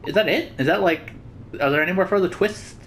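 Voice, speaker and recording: A woman's voice: on the low side, a little fast, slight background noise. A woman hosting a gaming video, giving casual let's play commentary in a rambling, slangy way.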